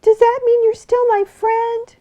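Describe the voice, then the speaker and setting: A woman voicing a playful little female fox with a high, squeaky voice in a children's storybook reading. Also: little pitch movement, measured pace, warm tone. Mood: tearful. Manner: high squeaky voice, tearful when sad.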